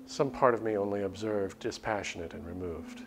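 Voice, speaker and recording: Low-voiced man narrating over music. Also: normal speed. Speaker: streamer reading a visual novel aloud. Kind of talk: dramatic story narration with casual commentary.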